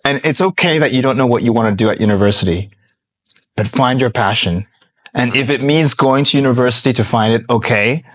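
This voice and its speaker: A man's voice: medium pitch, fairly quick, fairly bright tone. A man hosting a podcast, reacting to his guest in an informal, admiring way during a casual interview. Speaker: podcast host.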